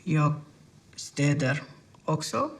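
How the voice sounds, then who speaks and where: An actress playing a weary woman cleaner who juggles several jobs, speaking in a flat, matter-of-fact way in a film scene. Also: low voice, takes it slowly, heavily acted. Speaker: film character. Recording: film clip with music behind. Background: film score music.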